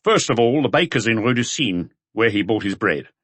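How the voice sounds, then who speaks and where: A man's voice: lowish voice, animated, moderate pace, a bit bright, dry recording. A man voicing a junior police detective in an audiobook, reporting details in a matter-of-fact way. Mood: dutiful reporting.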